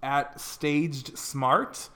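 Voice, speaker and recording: A man's voice: a little high, fairly even intonation, very slow, a bit bright. A man co-hosting a teachers' podcast, chatting casually and upbeat, laughing a lot and using fillers. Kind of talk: casual chat.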